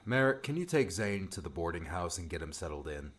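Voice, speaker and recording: A male narrator plays a young hero, earnest and commanding. His pitch moves in wide swings, and a faint noise sits under the recording. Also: very animated, fairly quick. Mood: concerned. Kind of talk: acted audiobook dialogue.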